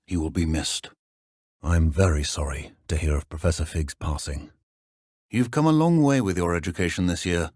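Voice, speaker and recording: An actor playing a stern middle-aged British wizard professor in a video game, speaking in a measured, dry, formal way. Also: male voice, low voice, a little mellow, no room sound. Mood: solemn.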